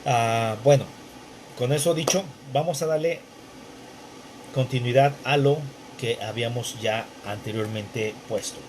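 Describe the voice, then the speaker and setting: Casting note: man leading a home Bible study, halting delivery with lots of restarts, mid-range voice, faint air-con hum behind. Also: medium pitch. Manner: halting, many restarts and fillers. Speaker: Bible teacher. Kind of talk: conversational Bible study intro. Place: bedroom at home. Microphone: wearing headphones.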